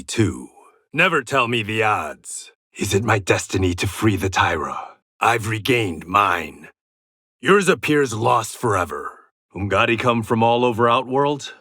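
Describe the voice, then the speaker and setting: A man's voice: fairly low pitch, a bit bright, no echo, studio quality. An actor playing a proud warrior and former gangster in a video game, confident and terse, with a sardonic edge to his short fight taunts. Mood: firm, confident.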